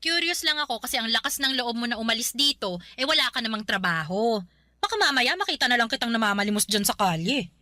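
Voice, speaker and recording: An actress playing a sharp-tongued, nagging older sister in her late twenties in a Filipino radio drama. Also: fairly high pitch, expressive, quick pace, dry recording, studio quality. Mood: sharp, sarcastic. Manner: sarcastic, nagging, confrontational.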